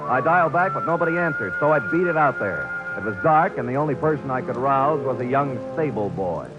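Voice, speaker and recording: A man's voice: mid-range voice, lively intonation, brisk, natural voice. An actor playing a tough, hard-boiled male private detective who narrates in a blunt, clipped, dry manner, in a 1940s radio drama. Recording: dull vintage radio recording with music.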